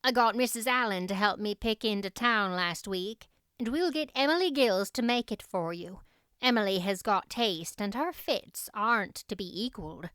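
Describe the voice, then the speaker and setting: A woman's voice: mid-range voice, expressive, normal speed, a bit bright, clear, resonant tone, no echo, clean studio sound, lightly acted. A woman voicing a practical, reserved older country woman with a tender core, plainspoken and matter-of-fact, in an audiobook. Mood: brisk, kindly.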